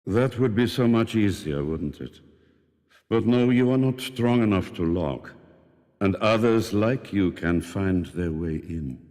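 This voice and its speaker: An aged, mysterious mind-reader in an audio drama, speaking in a low voice with a foreign accent. He talks in slow, formal, measured phrases. His voice hangs in a long reverb, as if it sounds inside a head. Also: animated, darkish tone. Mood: solemn. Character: old mysterious telepathic man, calm and sorrowful.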